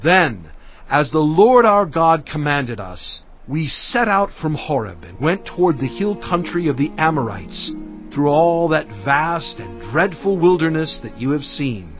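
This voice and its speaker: A man's voice: a little high, very animated, unhurried. An actor playing Moses as a fatherly, earnest leader addressing his people with oratorical weight in dramatized scripture narration. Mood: earnest, recounting. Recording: dull sound with music underneath. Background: music.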